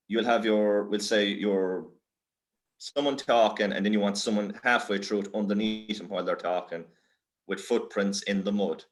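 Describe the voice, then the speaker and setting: A man with an Irish accent presents an informal workshop in a lowish voice. His delivery is halting and self-deprecating, as he works his way through a casual explanation.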